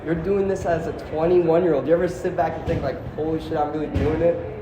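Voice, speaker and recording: A man's voice: a little high, fairly even intonation, fast talker. A man interviewing a fighter as a journalist, hyped and casual, often calling him bro. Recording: echoey arena with noise.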